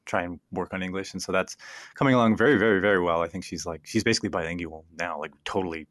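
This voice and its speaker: Deep-voiced man in his thirties, rambling. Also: quick pace, fairly bright tone, no room sound, clean studio sound. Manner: rambling, laughs easily. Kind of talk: casual chat.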